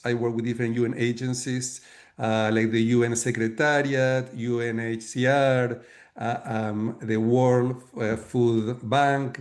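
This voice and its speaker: Middle-aged Argentine man, Spanish-accented English, steady flat delivery, methodical guest speaker. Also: mid-range voice. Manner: measured, explanatory, frequent fillers and restarts. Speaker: guest author and leadership coach. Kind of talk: webinar talk.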